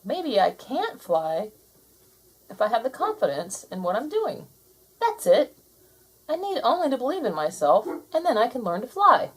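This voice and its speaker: A woman voicing an excitable young male seagull in a children's storybook, self-doubting but eager, with plaintive, dramatic exclamations. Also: on the high side, moderate pace, breathy edge, pitched above the performer's own voice, exaggerated voice, rough recording. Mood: dawning confidence.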